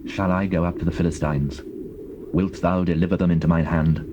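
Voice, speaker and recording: A man's voice: low-pitched, little pitch movement, brisk, lightly acted. An actor with a British accent playing a stern, devout warrior king in a dramatized scripture, declaiming solemnly. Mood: prayerful. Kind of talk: dramatized scripture, British classical stage acting. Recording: faintly noisy old cassette recording.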